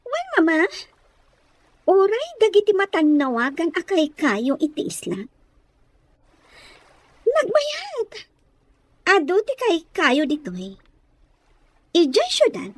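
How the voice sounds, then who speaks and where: Woman acting, delighted young daughter, audio drama, high pitch, gushing drawn-out delivery, dry studio sound. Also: wildly swooping pitch, no echo, full character voice. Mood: delighted, awed. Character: dreamy, delighted young daughter of a rich family.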